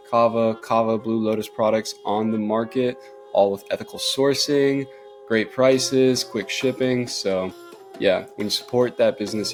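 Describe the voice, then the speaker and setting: A young man hosting a podcast, talking in a loose, rambling way with lots of fillers. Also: fairly low pitch. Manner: rambling, laid-back, lots of "like" and "uh". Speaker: podcast host. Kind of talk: casual podcast chat.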